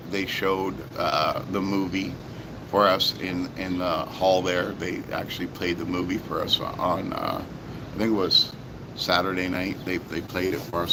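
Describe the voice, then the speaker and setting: A very deep-voiced man talks in a loose, rambling way, circling back to repeat himself with plenty of ums and uhs. His voice is hoarse and sore. Clear background noise sits under the recording. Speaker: toy reviewer. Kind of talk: casual vlog chat.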